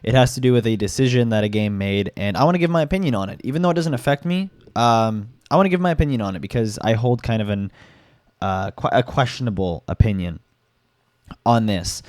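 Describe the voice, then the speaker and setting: A man's voice: lowish voice, expressive, brisk, a little mellow, dry recording, clean studio sound. A young man who has been sick and sounds stuffed up, giving casual gaming commentary with rambling fillers and restarts. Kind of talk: casual commentary with article reading.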